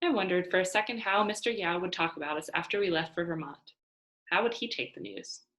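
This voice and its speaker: A woman reading a children's novel aloud as an expressive storyteller. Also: fairly low pitch, brisk. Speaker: storybook reader.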